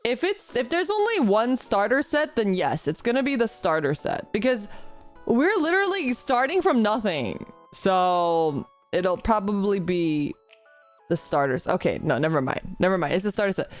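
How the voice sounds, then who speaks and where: A woman's voice: mid-range voice, sing-song, normal speed, soft background music. A woman streaming live, chatting casually and reading out her chat, slightly congested from a recent illness and rambling in a self-deprecating way.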